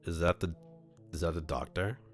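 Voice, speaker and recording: A man streaming and reading a visual novel aloud, playful and thinking out loud about his choices. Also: sits low, fairly even intonation, normal speed, faint music behind.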